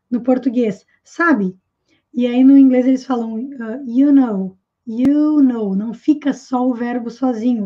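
A woman with a high, light voice teaches an English lesson from a small room at home. She says each example phrase slowly, more than once, and then explains it. The audio is dull and lo-fi, like a basic webcam mic. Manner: slow, careful repetition of example phrases. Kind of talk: online language lesson.

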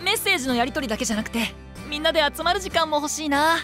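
Bubbly, high-voiced boyish band singer. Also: male voice, lively intonation, racing, music in the background. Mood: excited. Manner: bouncy, eager, boyish. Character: cheerful, childlike, bubbly young male band vocalist. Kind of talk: Japanese anime voice drama acting.

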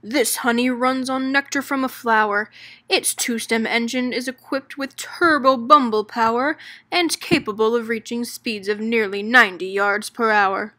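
A woman's voice: on the high side, fairly even intonation, normal speed, bright, forceful tone. Woman doing a crooning mantis car salesman. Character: smooth-talking male mantis car salesman.

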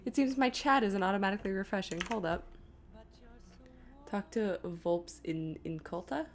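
A woman's voice: mid-range voice, normal speed, slight background noise. A woman streamer giving casual live gameplay commentary, chattily thinking aloud to herself and her viewers. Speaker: video game streamer.

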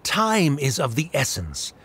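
A man's voice: on the high side, wildly swooping pitch, measured pace, fairly bright tone, slightly rough, heavily acted. A man voicing a cold, haughty middle-aged scholar in an anime game, formal, dismissive and condescending. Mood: cold disdain.

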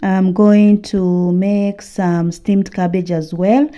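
Very dark, mellow Kenyan woman's voice. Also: mid-range voice, level tone, takes it slowly. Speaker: homemaking and cooking vlogger. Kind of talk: casual vlog voice-over.

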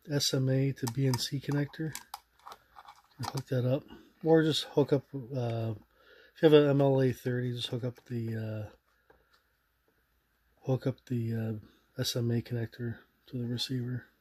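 A man giving an informal hands-on tutorial in a halting way, trailing off mid-phrase. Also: mid-range voice, dark tone. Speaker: radio hobbyist demonstrator. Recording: noisy, with radio static. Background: shortwave radio hiss.

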